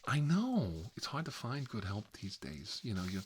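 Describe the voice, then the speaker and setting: A man playing a fussy, gossipy bachelor uncle in an improvised comedic ASMR roleplay, rambling and confiding in a prissy, halting way. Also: mid-range voice, big pitch swings, a little hiss or hum, close to an everyday voice. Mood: chatty, wistful.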